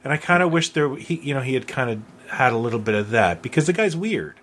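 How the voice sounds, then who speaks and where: A man's voice: mid-range voice, big pitch swings, quick pace, fairly bright tone. A middle-aged man co-hosting a podcast in a casual, conversational way. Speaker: podcast co-host. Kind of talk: casual chat. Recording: faint background noise.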